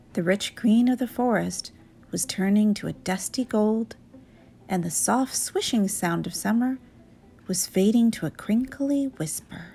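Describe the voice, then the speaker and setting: A woman reading a children's story aloud in an expressive storyteller's style, with music behind her. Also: mid-range voice, vocal fry, husky.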